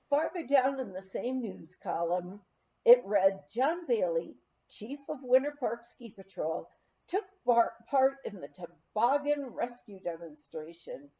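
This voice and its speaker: A woman reading a memoir aloud in an expressive, measured storytelling manner. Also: mid-range voice. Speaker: audiobook reader. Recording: dull, lo-fi sound.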